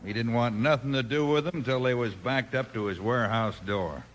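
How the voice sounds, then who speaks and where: A man's voice: medium pitch, normal speed, lightly acted. A man playing a disgruntled, rustic buffalo hunter with folksy talk in a 1950s radio western. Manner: folksy, rustic grammar, grumbling. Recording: noisy 1950s radio recording.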